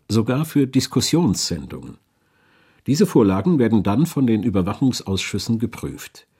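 A man's voice: medium pitch, warm tone, slightly rough. A man reading scripted historical narration as a documentary narrator.

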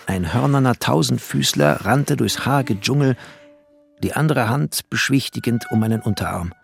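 Male actor doing a bookish refugee narrator, gravelly, biting n poetic, radio drama, music behind. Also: medium pitch, normal speed, lightly acted. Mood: wry, lyrical. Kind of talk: literary radio play narration with dialogue.

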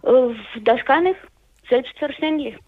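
Woman caller, high voice, tinny phone line, guarded replies. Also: a little slow. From Soviet Union. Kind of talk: phone-in call. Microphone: telephone.

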